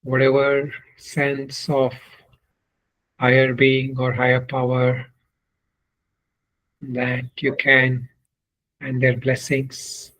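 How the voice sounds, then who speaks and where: A man guides a meditation in the manner of a swami instructing students. His delivery is very slow and deliberate, broken into short phrases with long pauses. The pitch stays at a medium level and moves very little, keeping an even line throughout. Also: poor audio.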